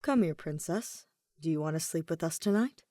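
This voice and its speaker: A woman voicing a devoted, tender young husband and father, in a hushed, choked, halting way. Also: low-pitched, expressive, dry recording, studio quality. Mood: gentle.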